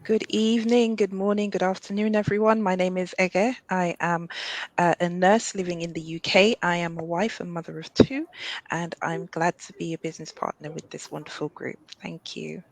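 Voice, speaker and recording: A woman who works as a nurse, introducing herself softly, with her voice trailing off. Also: fairly low pitch, fairly even intonation, normal speed, no room sound, low-quality recording.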